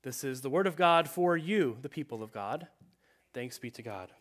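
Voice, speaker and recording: A man's voice: medium pitch, animated, moderate pace, fairly bright tone. A man in his thirties, a pastor, reading scripture and preaching in a conversational way with many fillers, his voice congested and a little nasal. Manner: conversational with frequent fillers and self-corrections.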